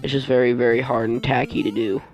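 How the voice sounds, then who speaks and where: A man giving casual let's play commentary as host, rambling and halting as he often loses his train of thought. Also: mid-range voice, little pitch movement. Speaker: gaming video host.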